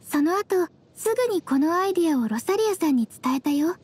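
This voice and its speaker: A woman playing a composed, quietly teasing young female traveler in an anime game, speaking politely and matter-of-factly. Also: very high voice, animated, a little fast.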